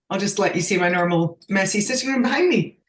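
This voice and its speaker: A woman's voice: medium pitch, animated, normal speed. Laughing Scottish woman on a roomy video call. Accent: Scottish, with some Australian influence. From Scotland. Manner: warm, laughs easily, talkative. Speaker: nutrition scientist guest. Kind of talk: casual interview chat over video call. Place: sitting room at home.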